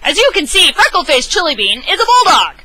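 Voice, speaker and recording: An exasperated storybook husband as a woman reads him aloud, pushing every point hard. The voice is pitched high and jumps around with lively emphasis. It is loud, insistent and exclamatory. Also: fairly quick, full character voice.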